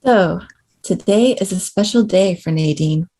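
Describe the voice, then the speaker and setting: A woman's voice: on the low side, a little slow, dark tone. A woman playing an entitled, demanding rich client of an escort, blunt and matter-of-fact, in a table read. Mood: breezy, blunt.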